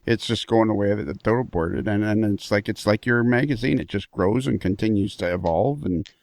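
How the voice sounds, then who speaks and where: A man's voice: fairly low pitch, a little fast, a little mellow, no room sound. A man hosting a casual podcast chat, upbeat and full of repeated words as he talks. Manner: enthusiastic, repeats words as he goes. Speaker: podcast host.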